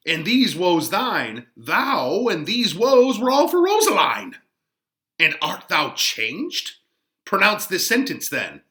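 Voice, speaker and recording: A man's voice: on the high side, sharp tone, reverberant, voice pushed up, exaggerated voice. Man performing an old friar, theatrical and swooping. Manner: theatrical, emphatic, drawn-out phrasing. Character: old friar, cautious, chiding confidant of a young man. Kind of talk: dramatic Shakespeare reading.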